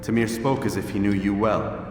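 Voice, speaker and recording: An actor playing a reserved, questioning assassin pupil in a video game, formal and terse. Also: male voice, fairly low pitch, fairly even intonation, moderate pace, distant mic, close to an everyday voice. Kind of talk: video game cutscene acting. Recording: very echoey hall, music behind.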